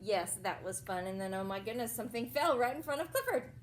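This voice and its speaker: A woman reading a picture book aloud to young children as a teacher, explaining things simply and pausing for questions and asides. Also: a little high, expressive, slight background noise.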